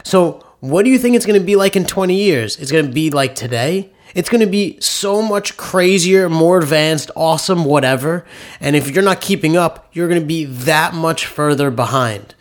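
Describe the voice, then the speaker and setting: A man with a nasal, slightly high voice, talking through money on a casual podcast. He explains with quick, opinionated enthusiasm. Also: expressive. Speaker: podcast co-host, author.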